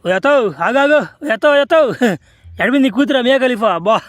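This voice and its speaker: A man's voice: extremely high pitch, quick pace, a bit bright, no room sound. A man voicing a cocky, teasing young village rogue in slangy, brash comedy cartoon style. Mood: cocky, mocking. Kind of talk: amateur comedy cartoon voice acting.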